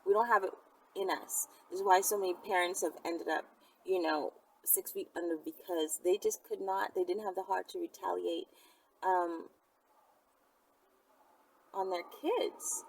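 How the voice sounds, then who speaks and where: A woman's voice: fairly low pitch, steady tone, measured pace, vocal fry, pauses often, slightly rough. A middle-aged woman chatting casually to camera as she answers viewers, talking in a rambling way with frequent asides. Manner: rambling, conversational, trailing into asides. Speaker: vlogger answering viewers' questions. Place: outdoors or in a vehicle at the roadside. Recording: distant mic, loud vehicle and ambient noise. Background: loud vehicle noise.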